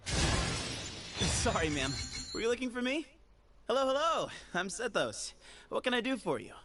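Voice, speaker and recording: A man's voice: extremely high pitch, big pitch swings, measured pace, a bit bright, soft background music, heavily acted. An actor playing a friendly, breezy young man in a fantasy video game. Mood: friendly, inviting.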